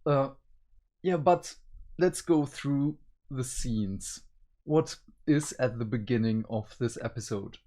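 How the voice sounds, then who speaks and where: A man's voice: mid-range voice, slow pace, warm tone. A man hosting a casual review discussion, talking in a conversational way. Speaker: review show host.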